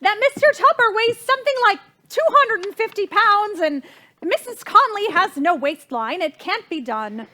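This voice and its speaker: A woman's voice: very high voice, expressive, moderate pace, sharp tone, exaggerated voice. An actress playing a fussy, imperious society mother and hostess in a stage comedy, haughty, exasperated and theatrical. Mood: bossy, exasperated.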